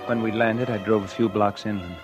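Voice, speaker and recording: A man's voice: lowish voice, fairly even intonation, a little fast, lightly acted. An actor playing a guilty, scheming man who confides his crime in 1940s radio suspense style. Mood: uneasy, calculating. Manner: confessional first-person narration. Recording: vintage 1940s radio with loud music.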